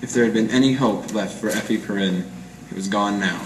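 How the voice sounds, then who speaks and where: An amateur actor playing a hard-boiled 1940s private eye in a radio noir, clipped, deadpan and slangy. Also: male voice, fairly low pitch, fairly quick, natural voice. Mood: grim narrating. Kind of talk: 1940s radio noir acting with first-person narration. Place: live presentation in a roomy gallery. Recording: echoey room, distant mic, faint noise.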